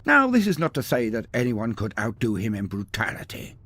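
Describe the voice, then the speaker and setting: Raspy guy narrating an over the top fantasy epic, super theatrical, voice rises and falls a lot, dry close sound. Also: medium pitch, wildly swooping pitch, brisk, fairly bright tone, no echo. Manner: grandiose, theatrical, ornate. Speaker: storyteller, narrator.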